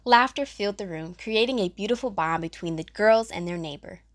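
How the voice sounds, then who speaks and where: A woman's voice: mid-range voice, a little fast. A woman narrator reading a scripted children's story.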